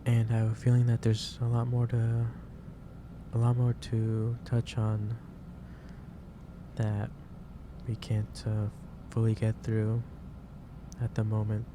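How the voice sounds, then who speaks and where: A man acting as a gentle office boss speaks low and in a near monotone. He is caring and reassuring, picking his words haltingly as in a soft ASMR roleplay. Clear background noise sits behind the voice. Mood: patient, caring. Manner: halting, reassuring, unhurried delivery. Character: gentle, caring male office boss lecturing an overworked employee. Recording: clearly noisy background ambience.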